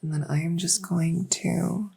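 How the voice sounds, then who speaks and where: A woman playing a gentle fae doctor in a soft-spoken ASMR medical roleplay, reassuring and unhurried, sometimes slipping toward a whisper. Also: on the low side, fairly even intonation, creaky, slightly rough, heavily acted. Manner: unhurried, reassuring, hesitant pauses. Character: gentle female fae doctor with buggy wings and scaly tail. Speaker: audio roleplay performer.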